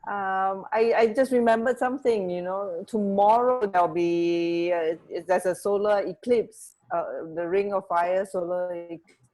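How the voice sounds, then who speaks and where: A woman co-hosting a radio show in casual chat, talking in a chatty, halting, rambling way. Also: mid-range voice, a little mellow, low-quality recording.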